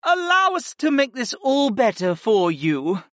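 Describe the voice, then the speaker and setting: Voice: mid-range voice, big pitch swings, unhurried, a bit bright, dry recording, studio quality, voice pushed up. A narrator voicing female goddesses in a fantasy audiobook, murmuring, coaxing and pouting in a sultry way.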